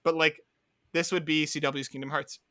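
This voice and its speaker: A man's voice: fairly high pitch, flat delivery, moderate pace, pushed and bright, dry recording, clean studio sound. A man rambling through a casual podcast chat as co-host, full of filler words.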